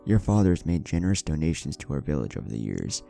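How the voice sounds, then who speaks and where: Guy doing an older lady clan leader in a story, deep gravelly voice, calm, proud, formal. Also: fast talker, soft background music, heavily acted. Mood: proud, protective. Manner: soothing, formal, authoritative.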